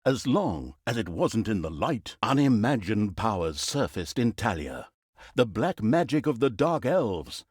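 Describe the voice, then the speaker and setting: An actor playing a wry, mischievous storyteller in a fantasy game, narrating in a theatrical, mock-dramatic, sardonic manner. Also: male voice, on the low side, very animated, a little slow, a little mellow, slightly rough, no echo, clean studio sound, full character voice. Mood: mock-grand. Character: wry, mischievous male storyteller mocking an evil fantasy saga.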